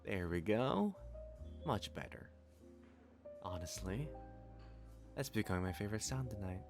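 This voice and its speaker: A man as a low-voiced, playfully doting catboy waiter. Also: lively intonation, unhurried, vocal fry, over music. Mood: tender, pleased.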